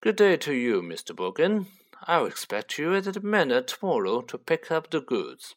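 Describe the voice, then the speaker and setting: A man's voice: fairly high pitch, big pitch swings, normal speed, pitched above the performer's own voice. A man voicing a haughty, cold aristocratic wizard father who speaks with curt, condescending disdain in a storybook reading.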